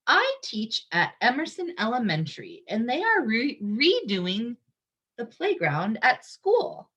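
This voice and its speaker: A woman's voice: fairly high pitch, huge pitch swings, slow, fairly bright tone, breathy edge, heavily acted. A woman voicing a friendly, helpful schoolteacher neighbor in a children's storybook, speaking plainly. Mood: helpful, suggesting.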